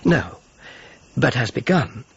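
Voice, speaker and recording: An actor playing a grave, careful and disappointed friar in a radio drama, speaking with some hesitation. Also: male voice, medium pitch, sing-song, slow pace, vocal fry, a bit bright, full character voice. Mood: measured, solemn. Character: grave, solid, disappointed male friar, measured and discreet. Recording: dull lo-fi radio drama, faint noise.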